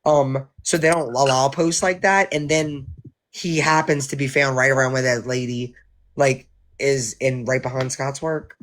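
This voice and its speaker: A woman's voice: rumbling low, fairly even intonation, a little slow. A woman chatting on a casual livestream, rambling and restarting her sentences. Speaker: livestream co-host.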